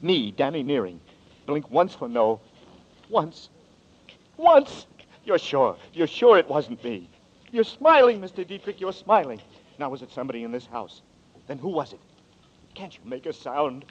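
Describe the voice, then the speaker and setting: A man's voice: on the high side, very animated, unhurried, long pauses. An actor playing a desperate young man who is accused of murder, speaking in a 1940s radio drama in an urgent, pleading way. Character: desperate hunted young man, Danny Nearing. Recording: dull old radio recording.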